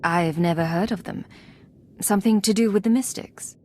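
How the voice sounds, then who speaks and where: An actress playing a composed, resolute secret agent in a video game, speaking in a measured, direct way. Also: female voice, mid-range voice, a little mellow, close to an everyday voice. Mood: questioning. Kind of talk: dramatic video game acting.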